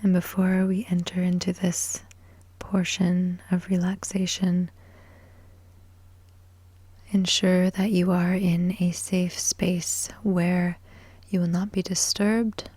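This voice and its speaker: A woman guiding a sleep hypnosis in ASMR style, soft, breathy and whispery, soothing and measured, with long pauses between short phrases. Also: fairly low pitch, flat delivery, no room sound. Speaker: hypnosis and meditation guide.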